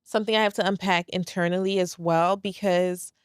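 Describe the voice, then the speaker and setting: Solo podcast host, woman in her 30s, Brooklyn accent with a light Saint Lucian trace, candid and laid back, smooth clear voice, dry close sound. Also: fairly low pitch, fairly even intonation, fairly quick, dry recording. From Saint Lucia, raised in Brooklyn, New York. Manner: candid and laid back, lots of "like" and "very much so", swears freely. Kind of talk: casual solo podcast monologue.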